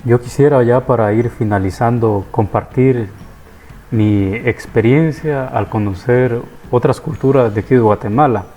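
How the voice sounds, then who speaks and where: A man's voice: medium pitch, measured pace, warm tone. A man from Honduras giving a reflective spoken course presentation, hesitant, with many restarts and fillers.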